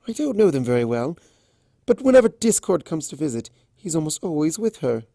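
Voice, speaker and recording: A man's voice: a little high, big pitch swings, brisk, vocal fry, warm tone, dry recording, studio quality, pitched above the performer's own voice. A man voicing a chatty, gossipy female pony townsperson in a cartoon fan story, casual and emphatic.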